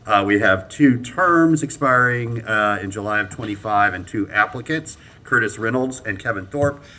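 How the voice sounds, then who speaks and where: A man's voice: fairly low pitch, sharp tone. A man chairing a city commission meeting as mayor, moving through appointments with many ums and uhs. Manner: halting, many fillers, brisk procedural. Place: council chamber.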